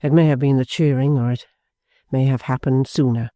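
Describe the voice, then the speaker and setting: A man voicing a grave, kind gentleman who speaks earnestly with pity and a hint of reproach. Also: medium pitch, fairly even intonation, moderate pace, no room sound, clean studio sound, natural voice. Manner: pitying, earnest.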